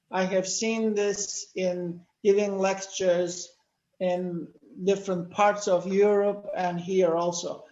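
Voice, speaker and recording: An older man from Turkey, speaking natively, giving a measured lecture-style talk with many pauses. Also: sits high, darkish tone, roomy sound, dull audio. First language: Turkish. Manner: measured, explanatory, with frequent "uh" pauses. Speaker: panel speaker. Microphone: video call.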